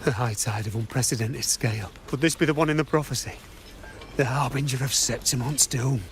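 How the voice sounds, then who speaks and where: An actor playing an uneasy, superstitious hunter in a video game, speaking with dramatic foreboding. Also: male voice, medium pitch, fairly quick, noisy background, natural voice. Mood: ominous, uneasy. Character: uneasy superstitious male hunter fearing doom.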